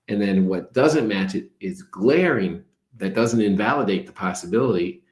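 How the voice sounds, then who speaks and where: An older man, a spiritual teacher, talking calmly and reflectively, explaining ideas with everyday examples. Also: lowish voice. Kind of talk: reflective talk. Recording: roomy echo.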